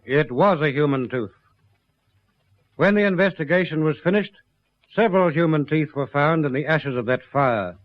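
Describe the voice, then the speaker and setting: A man giving formal scripted narration for an old radio police drama, in a grave, measured and emphatic manner. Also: a little high, slight background noise. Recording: dry, muffled vintage radio recording.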